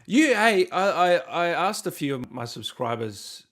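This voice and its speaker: A man's voice: a little high, sing-song, unhurried, a bit bright. Enthusiastic man interviewing. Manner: enthusiastic, informal, slangy. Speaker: interviewer, host. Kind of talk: casual interview chat. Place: remote video call.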